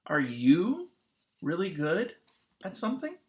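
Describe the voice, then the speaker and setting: Someone reading a children's picture book aloud in an expressive, teacherly way. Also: mid-range voice, slow pace. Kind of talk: children's read-aloud narration.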